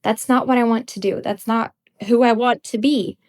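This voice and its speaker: A woman's voice: fairly high pitch, fairly even intonation, moderate pace, darkish tone. Emphatic young woman ranting, slightly congested. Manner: emphatic and blunt, with occasional laughs. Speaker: podcast host. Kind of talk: unscripted ranting commentary.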